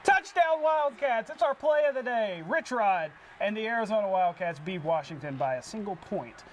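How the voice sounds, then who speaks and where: An American man, a sports anchor, delivering scripted highlights in a punchy way. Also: lively intonation, moderate pace, a little hiss or hum. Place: TV studio.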